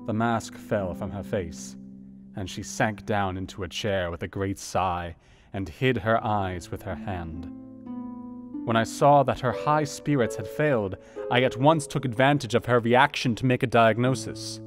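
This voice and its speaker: An actor playing an earnest Victorian doctor reading his letter in a radio play, in a measured, formal manner. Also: lowish voice, natural voice. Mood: earnest, concerned. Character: earnest male Victorian doctor writing to a friend. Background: music with plucked guitar.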